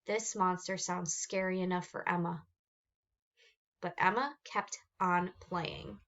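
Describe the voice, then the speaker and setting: A woman reading a children's picture book aloud with expressive narration. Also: on the low side, takes it slowly, muffled recording. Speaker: storybook reader.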